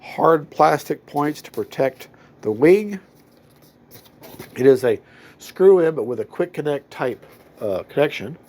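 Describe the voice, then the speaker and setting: A man's voice: a little high, a little mellow. A man, nasal from a cold, giving a folksy, rambling review of a model plane at home. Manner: rambling, self-correcting, folksy. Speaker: RC hobby reviewer. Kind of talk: casual unboxing review. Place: home hobby room.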